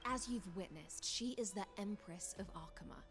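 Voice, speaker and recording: A woman voicing a proud swordfish knight commander in a game, speaking in a formal, measured, courtly way. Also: mid-range voice, gravelly, fairly bright tone, faint music behind.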